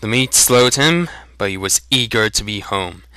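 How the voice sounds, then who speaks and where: A man's voice: on the low side, animated, normal speed, sharp tone, smooth, dry recording. A man giving a scripted dramatic narration of a fantasy story.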